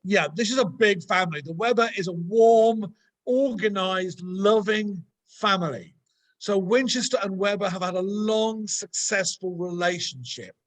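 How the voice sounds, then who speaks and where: An Englishman from a university's international office, giving a measured, emphatic presentation over a video call. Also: high-pitched. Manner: measured, emphatic, list-like delivery. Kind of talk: online workshop presentation.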